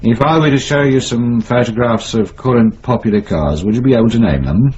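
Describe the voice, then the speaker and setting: An actor playing a courteous, methodical English police superintendent, polite and probing, in a British radio drama. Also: male voice, medium pitch, brisk, a little mellow, lightly acted. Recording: dull lo-fi radio recording, clear noise.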